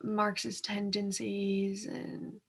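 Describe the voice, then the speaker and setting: A woman's voice: medium pitch, completely flat delivery, extremely slow, gravelly, husky. A young woman co-hosting a discussion in a casual, colloquial and loosely phrased way. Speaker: co-host of a reading discussion. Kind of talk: casual chat.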